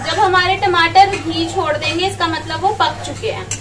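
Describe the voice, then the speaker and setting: A woman's voice: extremely high pitch, fairly even intonation, moderate pace, far from the mic, noisy background. A woman explaining a recipe step by step as she cooks in her home kitchen. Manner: step-by-step instructing. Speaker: home cook vlogger. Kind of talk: cooking demonstration.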